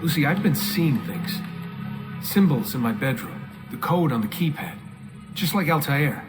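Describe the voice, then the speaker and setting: An actor playing a reluctant young recruit in a video game, speaking in a casual, questioning, sardonic way. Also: male voice, a little high, animated, unhurried, music in the background. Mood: curious.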